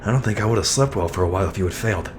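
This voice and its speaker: Male actor as weary trooper, deep voice, earnest, subdued, sci-fi audio drama, noisy ambience. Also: very fast, close to an everyday voice. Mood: worried, earnest.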